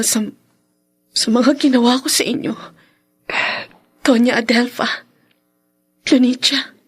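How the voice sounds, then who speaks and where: A woman playing a hesitant, contrite beauty salon owner in a radio drama, with halting delivery. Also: a little high. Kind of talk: Tagalog radio drama acting.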